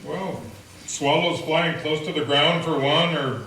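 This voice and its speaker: A man's voice: a little high, steady tone, a little slow, sharp tone, far from the mic, a little hiss or hum. A man playing a dry, judgmental frontier banker. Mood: dismissive. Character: sharp-tongued frontier banker, adult man. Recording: echoey room.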